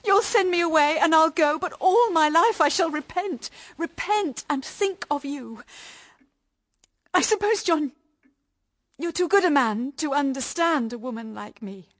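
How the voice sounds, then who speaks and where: A high, slow voice: a repentant young wife in a radio play. She pleads fervently and haltingly, full of emotion. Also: lively intonation. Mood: pleading, remorseful. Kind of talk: radio drama acting.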